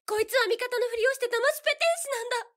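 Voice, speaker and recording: A blunt, accusing young villager played by a woman in an audio drama. The voice is extremely high and sharp, pushing each word forcefully. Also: steady tone, rapid-fire.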